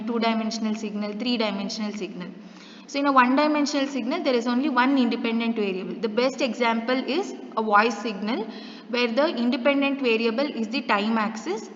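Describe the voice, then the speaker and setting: Female lecturer, higher voice, patient question-led teaching, echoey room. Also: fairly bright tone. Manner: explains step by step with rhetorical questions and everyday examples. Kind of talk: recorded classroom lecture. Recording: muffled echoey room with long reverb.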